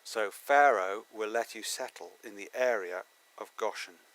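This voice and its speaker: A man's voice: fairly low pitch, a little slow, a bit bright, rough recording. A man playing Joseph, a confident, commanding Bible-story figure, in an emphatic amateur dramatic reading. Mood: instructive, confident.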